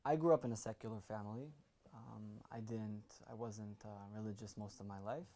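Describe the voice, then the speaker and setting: A man answers interview questions in a composed, thoughtful way. His voice is mellow and of middle pitch. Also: steady tone, brisk. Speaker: student.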